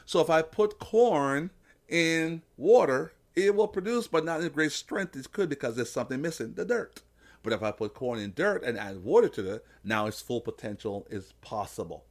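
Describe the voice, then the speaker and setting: A man's voice: fairly high pitch, animated, normal speed. A man giving an emphatic, preacher-like motivational talk on faith as a podcast host. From Jamaica. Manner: emphatic, preacher-like, illustrates with simple analogies.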